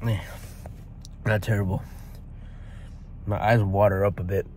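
A man's voice: fairly low pitch, flat delivery, unhurried, a little mellow, slight background noise. A young man vlogging casually about his workout, rambling and laid-back with lots of fillers and restarts. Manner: rambling, filler-heavy, self-correcting. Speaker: fitness vlogger. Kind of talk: casual vlog talk to camera.

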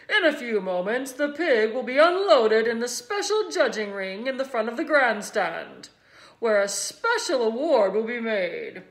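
A pompous male fair announcer, put on by a woman reader, booming from far off with big, soaring swings in pitch. Every proclamation is drawn out and grand. The sound is roomy and echoing. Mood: formal.

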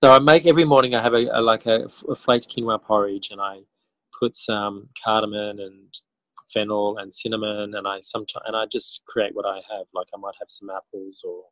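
A man answering as an interview guest in a casual, halting way, thinking aloud as he goes. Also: lowish voice, little pitch movement, fairly bright tone, dry recording, poor audio. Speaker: interview guest, author and educator.

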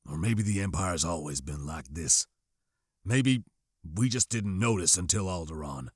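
A man voicing a serious, wary stormtrooper who speaks slowly and firmly in an audiobook. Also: deep voice, expressive, slightly rough, no echo, clean studio sound, voice pushed down, heavily acted. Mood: stern warning. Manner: slow, firm, serious. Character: grave, wary male stormtrooper, guarded and cautioning.

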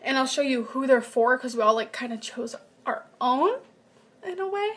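A young woman showing her groceries in a casual home vlog, chatty and reading off nutrition numbers in a flat list, recorded on a webcam in her kitchen. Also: high voice, normal speed. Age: young adult. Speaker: vlogger. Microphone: built-in webcam mic at desk distance.

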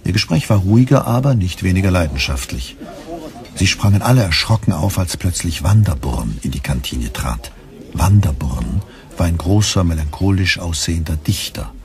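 A man's voice: low voice, animated, normal speed, soft background music. A man reading scripted literary narration for a radio play.

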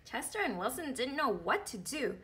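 A woman's voice: high-pitched, big pitch swings, moderate pace, sharp tone. A woman in her thirties reading a picture book aloud expressively for children. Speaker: read-aloud storyteller.

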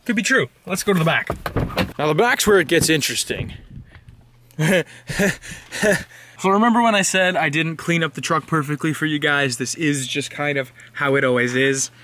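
A man's voice: on the high side, normal speed, slight background noise. Teenage guy vlogging from his truck. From Canada. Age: teens. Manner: relaxed, rambling, with fillers and asides. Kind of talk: casual show-and-tell vlog. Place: inside a pickup truck, parked. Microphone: handheld camera close up.

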